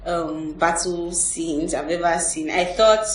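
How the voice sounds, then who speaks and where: A woman's voice: lowish voice, steady tone, slow pace. A woman hosting a movie review podcast, talking to her guests and sharing her own views. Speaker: podcast host. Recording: roomy, distant mic, background noise.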